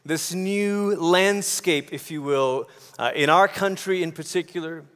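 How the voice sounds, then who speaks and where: A man's voice: fairly high pitch, a little slow, a bit bright, smooth. A man from Malaysia preaching a sermon in a conversational way, posing rhetorical questions and repeating phrases for emphasis. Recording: long hall reverb.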